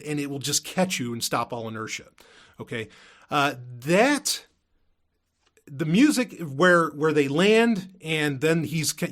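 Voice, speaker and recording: A man's voice: a little high, a bit bright. A man hosting a podcast in a casual, unscripted monologue, often interrupting himself and drifting into asides. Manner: rambling, self-interrupting, with frequent hedging asides. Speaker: podcast host.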